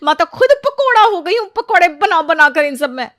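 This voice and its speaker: A woman's voice: extremely high pitch, sing-song, moderate pace, fairly bright tone, no echo, studio quality, pitched above the performer's own voice, heavily acted. A woman voicing an overworked, exasperated young daughter-in-law in a storybook, complaining in a whiny, dramatic way. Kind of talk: acted Hindi audio storybook dialogue.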